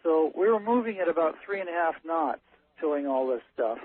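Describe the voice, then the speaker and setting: A man phoning a radio show, telling a story in a halting, matter-of-fact, technical way. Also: fairly high pitch, lively intonation. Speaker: caller, ship's captain. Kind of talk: unscripted storytelling on a call-in show. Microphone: telephone. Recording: narrow muffled phone line on radio.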